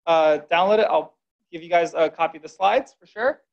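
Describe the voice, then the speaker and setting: An upbeat man at a podium finishes his presentation, talking informally with plenty of uhs. His voice is gravelly and a bit higher than usual. The room recording sounds dull and lo-fi. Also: fairly quick. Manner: upbeat, informal, filler words. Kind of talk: tech meetup presentation.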